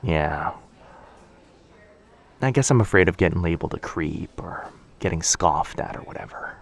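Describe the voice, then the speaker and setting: A man playing a shy, sweet, anxious young stranger in a boyfriend ASMR roleplay, speaking hesitantly, softly and earnestly. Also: low-pitched, lively intonation, moderate pace, creaky, husky, exaggerated voice. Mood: anxious, apologetic. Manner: hesitant, soft-spoken, earnest, self-doubting.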